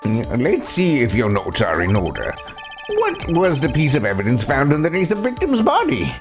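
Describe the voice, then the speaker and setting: Man acting as a stern judge with swooping pitch. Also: huge pitch swings, moderate pace. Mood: grave, deliberate. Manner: formal, stumbling over words. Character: stern, fussy male courtroom judge. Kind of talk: voiced video game playthrough. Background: video game music.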